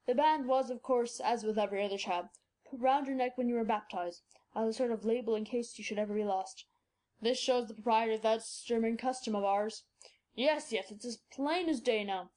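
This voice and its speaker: An excitable old doctor with a lowered, changed tone and emphatic, eager bursts, as a woman reader puts him on. He pushes his certainty loudly and scorns doubt. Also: natural voice.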